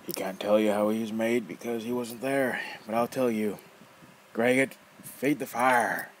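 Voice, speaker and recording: A man voicing a rough, taunting armless coffin maker in an audiobook reading. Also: on the low side, fairly even intonation, a little slow, slight background noise, pitched above the performer's own voice, natural voice. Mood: boastful. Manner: rough, taunting, bursts of laughter. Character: armless gruff male coffin maker, mocking and menacing.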